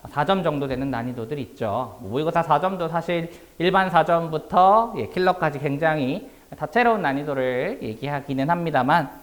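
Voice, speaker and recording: A man with a slightly hoarse, worn voice, presenting a class as an academy instructor in a polite, explanatory manner. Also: fairly high pitch, moderate pace, hardly any pauses. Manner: polite, explanatory, formal Korean speech.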